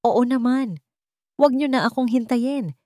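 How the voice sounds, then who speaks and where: A woman's voice: fairly high pitch, unhurried, mellow, dry recording, clean studio sound, pitched above the performer's own voice, close to an everyday voice. A woman voicing a troubled, secretive, resolute husband in dramatic story dialogue. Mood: feigned calm. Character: troubled, secretive adult billionaire husband, guilt-ridden and resolute.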